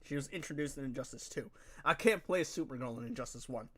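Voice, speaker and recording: A man's voice: mid-range voice, fast talker, slightly rough. A young man with a nasal, congested voice, chatting casually to viewers while he streams a game and talking straight to his chat in slang. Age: young adult. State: stuffy, congested nose. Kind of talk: casual live-stream gameplay commentary.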